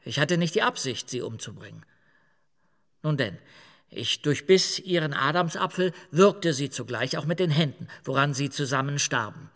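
A man's voice: fairly high pitch, animated, moderate pace, creaky, fairly bright tone, long reverb tail. An actor playing a boastful, unrepentant male serial killer in a radio play, matter-of-fact and self-important. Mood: cold, confessional.

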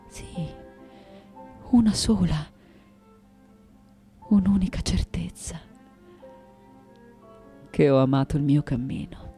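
An actress playing a reflective, anguished and defiant artist in her late nineties in a theatrical, deliberate radio monologue. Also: low voice, dark tone, exaggerated voice. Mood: resigned, tender. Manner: theatrical, deliberate, dwelling on repeated words. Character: 98-year-old woman artist, intense, reflective, anguished, defiant.

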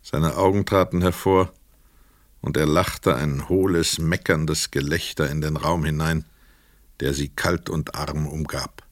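Crime drama narrator: man, very low voice, close dry sound, measured and sober literary reading. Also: steady tone, no echo. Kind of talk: literary scripted narration of a crime radio play.

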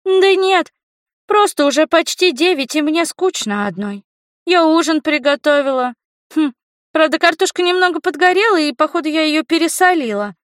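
A woman's voice: high voice, animated, normal speed, no echo, studio quality, voice pushed up. A woman voicing a chirpy, eager, slightly sheepish young girl with light, childlike phrasing in an audiobook. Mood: sheepish, hopeful. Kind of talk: audiobook character voice.

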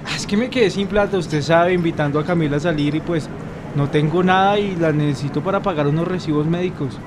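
A man's voice: fairly high pitch, a little fast, lightly acted. A man playing a stubborn, lovestruck, broke young man in a radio soap, talking colloquially with a Bogotá accent. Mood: sheepish. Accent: Colombian, Bogotá. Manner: colloquial, insistent. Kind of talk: amateur radio soap opera acting. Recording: clearly noisy, urban ambience.

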